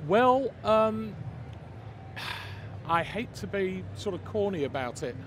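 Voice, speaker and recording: A man's voice: high voice, big pitch swings, takes it slowly. A man with an English accent answering interview questions at a trade show, stopping and restarting often. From England. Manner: hesitant, many repeated starts. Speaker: speaker company representative. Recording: noisy trade show floor.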